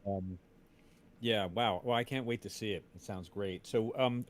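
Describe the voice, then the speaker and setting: A man's voice: fairly low pitch, unhurried, slight background noise. A man chatting casually as a co-host, agreeable and a little tentative in how he puts things. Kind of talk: casual chat.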